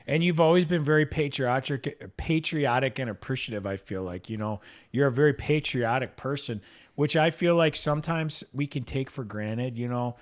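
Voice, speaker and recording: A middle-aged man hosting a podcast interview, a trial lawyer himself, speaking casually and conversationally with a lot of "you know"s. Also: mid-range voice. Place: podcast studio.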